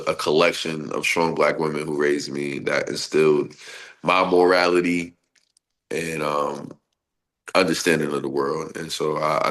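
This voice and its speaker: A man with a stuffy, nasal voice from a cold, talking casually in an interview and then performing spoken-word poetry with rhythmic delivery. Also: rumbling low. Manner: expressive, laughs often, rhythmic poetic delivery. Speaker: poet guest.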